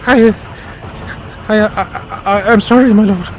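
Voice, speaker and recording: A man's voice: sits high, steady tone, measured pace, noisy background, pitched above the performer's own voice. A man voicing a cowering, deferential ship's officer in a dramatized audiobook, stammering in a small, fearful voice. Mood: terrified, apologetic. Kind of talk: dramatized audiobook character acting.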